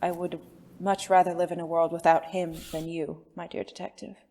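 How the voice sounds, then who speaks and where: A woman playing a refined, secretive former spy in an audio drama, speaking formally and haltingly, with endearments. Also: on the low side, level tone, warm tone. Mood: composed, regretful.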